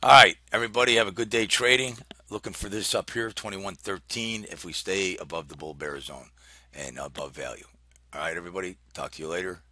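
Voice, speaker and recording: A man giving informal, unscripted market commentary as an analyst, talking haltingly as he thinks aloud and reads out numbers. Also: low-pitched, pushed and bright. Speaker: market analyst hosting a trading briefing.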